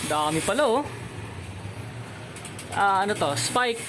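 A man's voice: high-pitched, animated, takes it slowly, over music. A man with a Filipino accent gives a casual shop tour as a vlogger, often echoing what he is told. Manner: repeats and echoes what he is told. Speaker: motorcycle vlogger and host. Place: motorcycle accessory shop. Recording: noisy location sound with traffic ambience.

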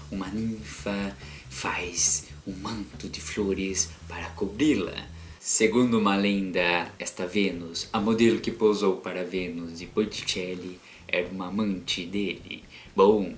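A man's voice: sits low, a bit bright, echoey room, a little hiss or hum. A young Brazilian man, an art teacher and cartoonist, talks playfully and self-mockingly to his webcam about art, swinging his voice up and down for drama. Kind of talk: theatrical educational vlog. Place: small bedroom with bookshelf. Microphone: webcam framing, seated facing camera.

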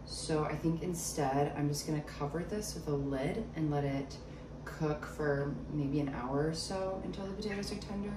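A young woman vlogger chatting casually as she cooks in her home kitchen, thinking out loud about each step. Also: low voice, background noise. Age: young adult. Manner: chatty, thinks aloud while cooking. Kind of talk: casual cooking vlog talk. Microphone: camera on the counter, a few steps away. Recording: echoey kitchen, mic at a distance.

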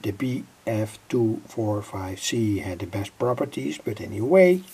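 A middle-aged man with a gravelly, husky voice giving an informal, halting technical explanation as a hobbyist presenter. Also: on the low side, animated, darkish tone. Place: indoor home electronics workbench.

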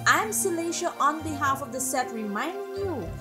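A woman's voice: high-pitched, animated, normal speed. Woman announcing over music. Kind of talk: scripted outro announcement.

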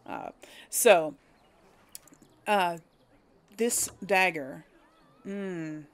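A woman's voice: on the low side, drawn-out words. A woman giving casual game commentary, thinking aloud in a hesitant, musing way as she hosts. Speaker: gaming video host.

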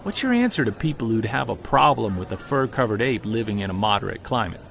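A man's voice: lowish voice, very animated, quick pace, gravelly, a bit bright, a little hiss or hum, full character voice. A man playing an earnest investigator in an audio drama interview, speaking thoughtfully with some hesitation. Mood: earnest, curious.

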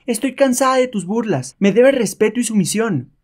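A man voicing an imperious, hot-tempered noblewoman with a shrill, commanding manner in a comic-book reading. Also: animated, normal speed. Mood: furious. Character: imperious, hot-tempered Japanese widow, haughty slave mistress.

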